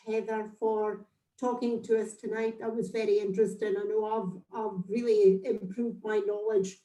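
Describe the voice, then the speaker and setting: A woman's voice: mid-range voice, mellow. A woman chairing a committee meeting on a video call, politely wrapping up with thanks. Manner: polite, brisk wrap-up. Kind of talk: closing remarks on a video call. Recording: roomy echo on call audio.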